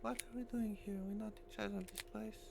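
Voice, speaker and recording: A woman's voice: medium pitch, fairly even intonation, a little fast, vocal fry, background noise, lightly acted. A woman playing a blunt, weary female squad leader in a visual novel. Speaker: reader of a game character's lines. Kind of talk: acted reading of visual novel dialogue.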